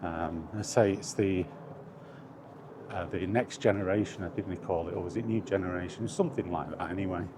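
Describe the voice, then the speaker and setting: A man's voice: low voice, fairly even intonation, fairly quick, noisy background. A man chatting casually in a meandering, hesitant way as he records a walking vlog outdoors, often correcting himself. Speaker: vlogger. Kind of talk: casual rambling walk-and-talk chat.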